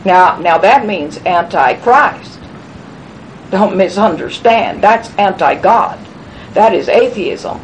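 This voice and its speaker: A woman's voice: lively intonation, takes it slowly, low-quality recording. A woman giving a rambling, emphatic conspiratorial talk, answering questions at a gathering, repeating phrases and restarting sentences. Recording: low rumble noise in background.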